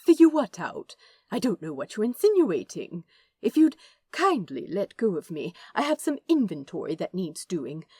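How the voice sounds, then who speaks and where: A woman's voice: mid-range voice, very animated, normal speed, a little mellow, breathy edge, dry recording. A woman voicing a prim, fussy male angel with a genteel lilt, polite and easily flustered. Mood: prim, evasive. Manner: lilting, genteel, proper, hesitant when flustered.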